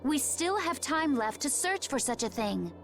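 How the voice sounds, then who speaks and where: A woman playing a composed, resolute young warrior woman in a video game, speaking in a poised, measured, earnest way. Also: high voice, big pitch swings, a bit bright, faint music behind, heavily acted. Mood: earnest resolve.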